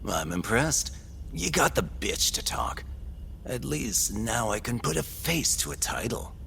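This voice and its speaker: An actor playing a smug, slippery crime boss in an audio drama, sardonic and mocking. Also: male voice, medium pitch, very animated, a little slow, creaky, fairly bright tone, slight background noise, full character voice.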